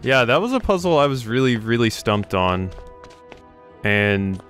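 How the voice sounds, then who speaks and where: A man's voice: mid-range voice, very animated, unhurried, a bit bright. A man giving casual let's play commentary on a video game, thinking aloud as he plays. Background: video game music and effects.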